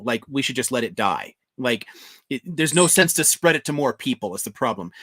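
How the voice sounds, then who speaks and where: Male host of a live stream, mid pitch, reflective, rephrases as he goes. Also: fairly bright tone. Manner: thoughtful, restarts often, advising.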